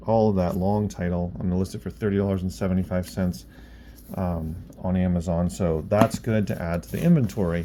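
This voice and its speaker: Low-voiced man over background noise. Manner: offhand, thinking aloud. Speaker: online reseller and bookstore owner, vlog host. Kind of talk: casual vlog chat.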